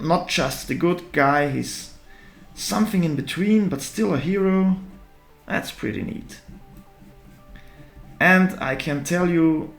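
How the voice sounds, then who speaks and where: Gravelly man, slow drawing chat. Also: a little high, fairly bright tone, reverberant. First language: German. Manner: halting, self-deprecating, thinking aloud while drawing. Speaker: artist. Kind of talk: live drawing commentary.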